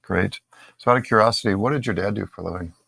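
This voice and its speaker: Low gravelly male podcast host. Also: quick pace, fairly bright tone, no room sound. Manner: reads introductions, short prompts. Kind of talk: scripted interview questions.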